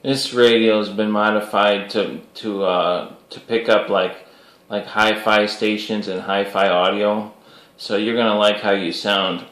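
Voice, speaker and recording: A man chatting casually as a CB radio operator, easygoing and a little halting, repeating himself. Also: on the low side, flat delivery, a bit bright. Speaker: CB radio operator making a video. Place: radio station set-up, recorded on camera. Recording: roomy, echoing home radio station.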